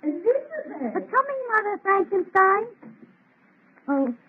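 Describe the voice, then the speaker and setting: An actress playing a sweet, devoted young fiancée, earnest and gushing, in an old radio melodrama. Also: female voice, very high-pitched, very animated, unhurried, background noise, exaggerated voice. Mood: adoring, happy. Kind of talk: 1930s radio melodrama acting. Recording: thin, tinny old radio recording.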